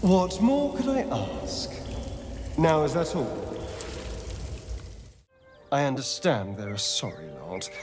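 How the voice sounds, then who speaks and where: A man's voice: on the high side, darkish tone, heavily acted. A suave vampire spawn from a fantasy game, with music behind him in a reverberant space. His speech swoops dramatically, arch and bitter, lingering on key words as if centuries of resentment sit under the polish. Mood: guarded, earnest, then cold, indignant. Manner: theatrical, arch, drawn-out emphatic phrasing. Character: suave, bitter male vampire spawn, two centuries old, former magistrate. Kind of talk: theatrical video game dialogue.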